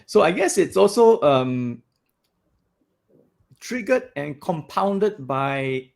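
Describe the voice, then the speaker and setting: Gravelly-voiced male podcast host. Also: on the high side, slow pace. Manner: loud, animated, laughs often. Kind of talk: casual interview chat.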